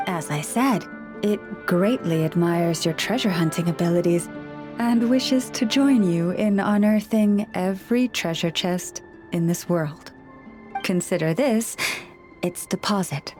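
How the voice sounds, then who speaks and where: An actress playing a gentle, caring woman who looks after forest animals, speaking in a soothing, measured way in a video game. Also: lowish voice, over music, lightly acted. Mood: kindly. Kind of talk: video game character dialogue.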